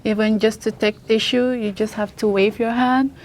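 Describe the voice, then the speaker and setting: A woman's voice: on the high side, steady tone, normal speed, a little mellow. Hesitant young woman. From Indonesia. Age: young adult. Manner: hesitant, many fillers, searches for words. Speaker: international student. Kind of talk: press conference answers.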